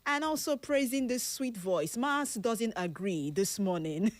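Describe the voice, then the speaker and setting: A woman's voice: fairly high pitch, lively intonation, measured pace, dry recording. Chatty Nigerian woman radio host. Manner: chatty, jokey, self-praising. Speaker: radio health show host. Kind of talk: lively radio talk and news reading. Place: radio studio.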